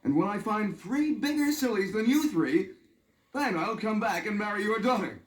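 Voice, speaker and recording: A man's voice: high voice, expressive, moderate pace. A man playing a sensible young fairy-tale traveler, declaiming theatrically on an old roomy story record. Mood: exasperated, decisive.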